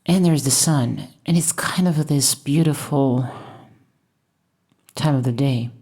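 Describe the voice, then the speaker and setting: A woman from Russia, thoughtfully talking over her painting process in a hesitant, musing way with many pauses. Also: a bass voice, warm tone. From Moscow, Russia. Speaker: painter and channel host. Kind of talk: reflective voice-over while painting.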